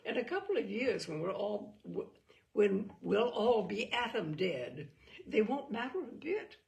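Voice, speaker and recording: A woman's voice: medium pitch, very animated, measured pace, creaky, darkish tone. A woman voicing a flippant, teasing teenage daughter in a short story reading, with a light, breezy manner.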